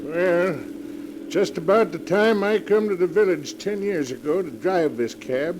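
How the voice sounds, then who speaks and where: A man's voice: high voice, unhurried. A man playing a folksy, hesitant village cab driver with rustic grammar, in 1940s radio drama style. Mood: matter-of-fact. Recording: noisy old 1940s radio recording.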